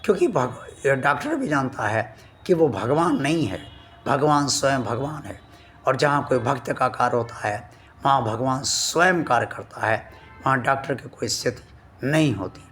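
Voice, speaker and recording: A man hosting a devotional channel, speaking to his viewers slowly and earnestly, his voice still weak from a sore throat. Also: mid-range voice, expressive, fairly bright tone, faint music behind. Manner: slow, earnest and devout, addressing viewers formally. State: recovering from a sore throat, voice not at full strength.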